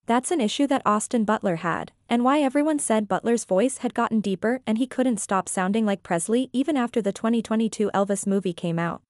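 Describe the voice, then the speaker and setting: Female reviewer, higher register, dry close voice-over, earnest read. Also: brisk, hardly any pauses, no echo. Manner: earnest, opinionated, even read-out delivery. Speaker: reviewer and author. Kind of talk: scripted voice-over narration.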